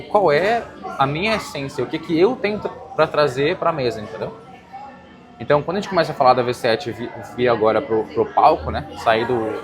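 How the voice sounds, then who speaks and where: A man with a Brazilian accent talking casually as an interviewer, explaining ideas and often checking that he is understood. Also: mid-range voice. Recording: music playing behind the voice. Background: music.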